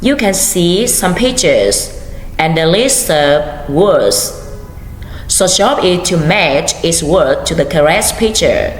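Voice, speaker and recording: A woman English teacher giving calm, measured classroom instructions. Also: fairly low pitch. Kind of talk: slow scripted classroom instruction. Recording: echoey hall with faint noise.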